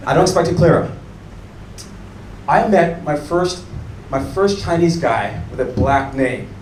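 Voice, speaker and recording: An American man doing a stand-up comedy set on a club stage, animated and chatting with the audience. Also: on the high side, measured pace. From United States. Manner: animated, mimics locals and chats with the audience. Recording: echoey room taped from far off, crowd noise.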